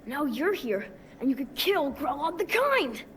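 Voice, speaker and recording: A woman's voice: sits high, sing-song, unhurried, slight background noise. A woman voicing an earnest, morbid and desperate young orphan boy in a fantasy game, with a plaintive manner. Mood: pleading, bitter. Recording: distant voice, faint game ambience.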